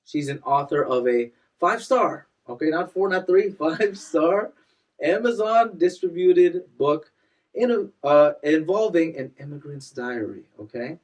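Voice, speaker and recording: A young man hosting a casual interview show, energetic and quick to hype up his guest and laugh. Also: fairly high pitch, recorded from a distance. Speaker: podcast host.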